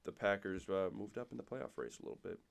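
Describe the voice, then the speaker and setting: Man, young adult, hoarse from a cold, raspy and nasal, low voice, rattles off stats, casual co-host. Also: sing-song, fast. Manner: rattles off game stats, restarts phrases. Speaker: podcast co-host. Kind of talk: casual sports chat.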